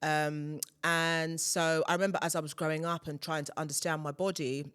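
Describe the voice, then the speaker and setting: A woman's voice: deep voice, little pitch movement, normal speed, a bit bright, clean tone. A woman in her thirties, a broadcaster and podcast guest, telling her story in candid, chatty talk full of "like". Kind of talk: casual chat.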